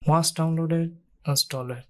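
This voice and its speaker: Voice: mid-range voice, steady tone, measured pace. A narrator reading scripted step-by-step tech tutorial instructions in a plain, instructional way.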